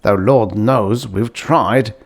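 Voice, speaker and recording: A man's voice: on the low side, slow pace. Man as a musing, slyly coaxing uncle.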